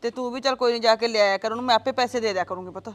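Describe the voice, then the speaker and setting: A woman's voice: very fast, close to an everyday voice. A woman playing an older mother, pleading and lamenting, bristling when challenged. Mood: soothing, sorrowful. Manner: pleading, lamenting, pitch rises in arguments. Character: grieving older mother, defensive, talks back. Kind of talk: family drama skit.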